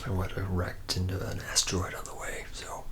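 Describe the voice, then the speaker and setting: A man's voice: low-pitched, completely flat delivery, a little fast, gravelly. A man playing a charismatic, hard-drinking senior robot mechanic in an ASMR roleplay, rambling in a loose, laid-back way. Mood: boozy, laid-back.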